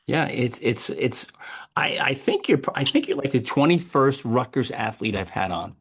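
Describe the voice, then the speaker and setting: A man hosting an interview podcast, speaking informally and conversationally, with halting repeats of words. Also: medium pitch, animated, a bit bright, husky. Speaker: podcast host.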